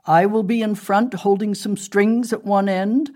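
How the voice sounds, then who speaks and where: A woman's voice: mid-range voice, steady tone, unhurried, dark tone, voice pushed up, lightly acted. A woman voicing a proud old male spruce tree in a storybook, boastful and dry in manner. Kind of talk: storybook character voice.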